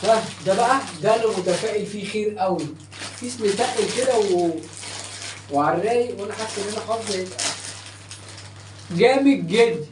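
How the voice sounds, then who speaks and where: A young Egyptian man hosting a casual unboxing vlog, chatting to the camera in an excitable, boastful, emphatic way. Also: sits high, fairly quick, long pauses. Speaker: vlog host. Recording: distant roomy mic with faint noise.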